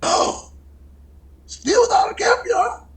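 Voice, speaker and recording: A man playing an exasperated, wisecracking valet in an old-time radio comedy, with a raspy squawk. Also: extremely high pitch, slow, fairly bright tone, exaggerated voice. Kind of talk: 1940s radio comedy acting.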